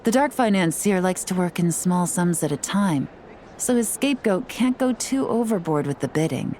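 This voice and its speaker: An actress playing a cool, shrewd and composed operative in a video game, speaking with calm assurance. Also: female voice, fairly low pitch, normal speed, slight background noise, natural voice. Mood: cool, calculating. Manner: calm, assured, smooth-talking.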